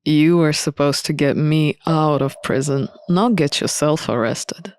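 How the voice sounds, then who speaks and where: A woman voicing a sardonic, reproachful male prisoner in a visual novel, in a measured, needling manner. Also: sits low, darkish tone, pitched below the performer's own voice. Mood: dry reproach. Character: sardonic imprisoned man, familiar and reproachful.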